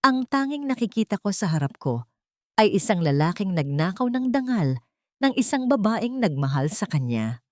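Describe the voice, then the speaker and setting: A woman's voice: medium pitch, big pitch swings, normal speed, a little mellow, no room sound, studio quality, natural voice. A woman voicing a wronged wife in a story, hard, bitter and determined. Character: wronged wealthy wife, bitter, steely and resolute.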